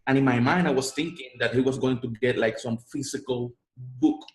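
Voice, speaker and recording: A man with a Puerto Rican Spanish accent, whose first language is Spanish, speaks earnestly as an interview guest. His pitch sits in an ordinary middle range, and he often repeats words as he builds each thought. The sound is roomy and echoey. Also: steady tone, brisk. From Puerto Rico. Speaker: guest, online marketer. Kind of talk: interview storytelling.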